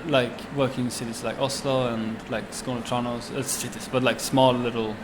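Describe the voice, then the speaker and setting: A young man with a Swedish accent talks casually, pausing often and restarting his sentences. His voice sits at a middling pitch. Steady background noise runs under the recording. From Sweden. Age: 20s. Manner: halting, many fillers and restarts. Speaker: chef and restaurant owner. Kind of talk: casual interview.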